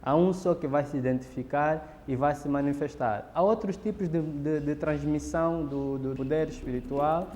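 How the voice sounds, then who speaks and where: A man with an Angolan Portuguese accent explains at a measured pace, often pausing with fillers. His voice is fairly high for a man and dark in tone. It carries a long room reverb over faint background noise. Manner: measured, pauses with fillers and restarts. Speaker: interviewee explaining a ritual. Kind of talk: explanatory documentary interview.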